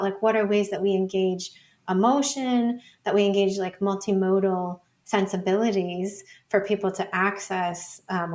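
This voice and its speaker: A woman's voice: mid-range voice, gravelly. A woman speaking as an interview guest, explaining ideas in a thoughtful, informal way with a lot of conversational hedging. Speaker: podcast guest, author and academic.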